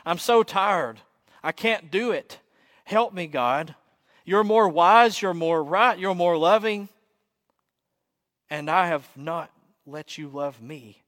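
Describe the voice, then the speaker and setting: A man's voice: a little high, slow, a bit bright. A man playing a weary, desperate pilgrim in a sermon story, pouring out his heart with raw emotion. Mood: weary, broken. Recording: echoey hall with long reverb.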